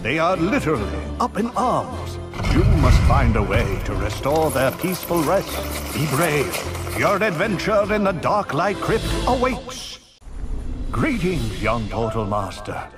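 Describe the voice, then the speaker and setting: A wise old wizard speaks slowly and grandly, with sweeping rises and falls. Loud orchestral-style game music swells behind his solemn, earnest counsel. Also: a little high, big pitch swings. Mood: solemn, urging. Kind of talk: epic fantasy game narration.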